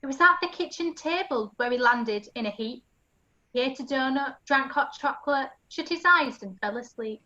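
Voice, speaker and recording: A woman's voice: high-pitched, animated, normal speed, sharp tone, lo-fi sound. A woman narrating a rhyming pantomime story as a storyteller.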